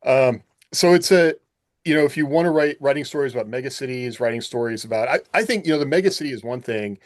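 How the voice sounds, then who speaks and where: A man's voice: mid-range voice, quick pace, no echo, studio quality. A man hosting a podcast in casual chat, musing aloud and posing questions. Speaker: podcast host.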